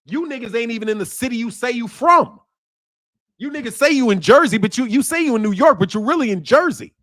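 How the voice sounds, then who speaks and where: Male streamer host, high-voiced, slangy, animated off-the-cuff boxing talk. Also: fairly quick. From Chicago area. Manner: animated, slangy, loud outbursts and laughter. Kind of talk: casual livestream talk. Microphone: streaming mic.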